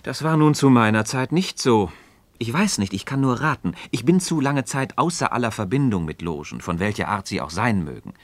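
An actor playing a composed, wise Freemason mentor in a philosophical radio play, reasoning in a measured, didactic, gently ironic manner. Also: male voice, mid-range voice, big pitch swings, no room sound. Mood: reflective, didactic. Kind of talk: mid-century German radio play acting of philosophical dialogue.

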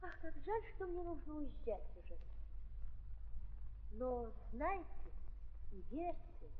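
An actress playing a coquettish, gushing society lady in a theatrical 1930s radio drama, with affected speech sprinkled with French. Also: on the high side. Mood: intimate, coaxing. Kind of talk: 1930s Russian stage radio drama acting. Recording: muffled 1930s recording, hall reverb.

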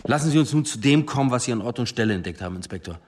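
An actor playing a weary, guarded police chief in a radio drama, speaking formally and probingly with a superior's reproving edge. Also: male voice, mid-range voice, lively intonation, racing, lightly acted. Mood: stern, irritated.

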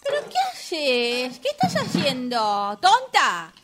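A woman's voice: high-pitched, wildly swooping pitch, slow, noisy background, exaggerated voice. A woman playing a haughty, menacing lady of the house in a radio play, with a condescending manner and an Argentine accent. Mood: scolding. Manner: condescending, imperious. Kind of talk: radio drama acting.

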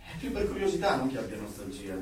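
An actor playing a curious but guarded older customer in a radio drama, polite, hesitant and evasive. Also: male voice, medium pitch, very animated, quick pace, close to an everyday voice. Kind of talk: naturalistic radio drama acting. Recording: very echoey, slightly distant, music behind.

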